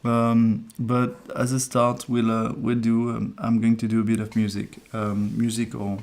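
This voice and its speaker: A man hosting a casual live numerology stream, soft-spoken and hesitant as he thinks aloud. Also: mid-range voice, completely flat delivery, a little slow, creaky. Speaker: numerologist host.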